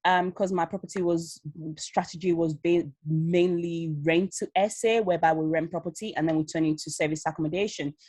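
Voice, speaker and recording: A woman's voice: on the low side, fairly quick, few pauses, dry recording. A woman in her thirties from Leeds, with a Yorkshire accent mixed with Nigerian, telling her story in a casual interview over a video call. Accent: Yorkshire with Nigerian touches. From Leeds, England. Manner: animated, laughs often, many fillers. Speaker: guest, Amazon seller.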